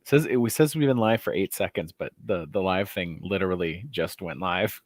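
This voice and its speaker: Deep-voiced man hosting a joking livestream. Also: moderate pace, no echo, clean studio sound. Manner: joking, rambling, with many fillers. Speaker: host. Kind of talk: casual livestream chat.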